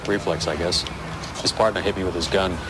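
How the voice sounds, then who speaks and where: Actor as security guard, thirties, male, shaken, terse, very low voice, noisy outdoor street, police audio drama. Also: steady tone, a little fast, close to an everyday voice. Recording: loud outdoor street and vehicle noise.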